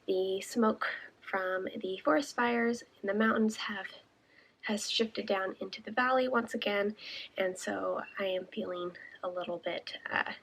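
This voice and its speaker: A young woman hosting a video podcast, chatting casually with lots of ums, a bit raspy and congested. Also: medium pitch, steady tone, measured pace, fairly bright tone, poor audio. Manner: chatty, with many ums and uhs. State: congested, a little raspy from smoke. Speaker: podcast host and shepherd. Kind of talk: casual chat to camera.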